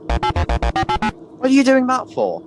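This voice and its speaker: A man's voice: extremely high pitch, flat delivery, slow, over music. A man playing a questioning, dutiful prison chief guard in an audio drama. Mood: curious.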